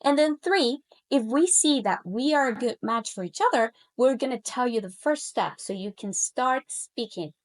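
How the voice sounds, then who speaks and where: A woman in her thirties from Colombia, a native Spanish speaker with a Colombian accent, giving an upbeat, persuasive webinar pitch about learning languages. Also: lively intonation, moderate pace, few pauses, rough recording. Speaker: Spanish coach. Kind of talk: webinar pitch and personal story.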